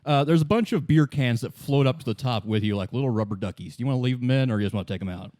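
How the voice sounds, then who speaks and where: A man's voice: medium pitch, very fast, mellow, no room sound, studio quality. A man acting as game master, narrating the game in a casual, colloquial, improvised way. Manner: conversational, colloquial.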